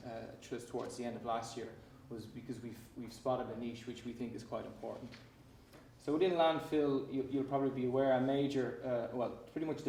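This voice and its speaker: A man with an Irish accent giving a startup pitch, often restarting his sentences around "uh" and repeated words. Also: darkish tone. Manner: frequent fillers and repeated restarts. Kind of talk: pitch presentation. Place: conference hall. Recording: echoey hall, distant mic, faint noise.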